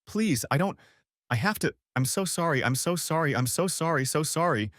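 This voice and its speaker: A man's voice: mid-range voice, very animated, a little fast, a bit bright, no room sound, studio quality, pitched above the performer's own voice. A man voicing a distraught, overdosing young wife who pleads and sobs. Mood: pleading. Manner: sobbing, pleading, manic.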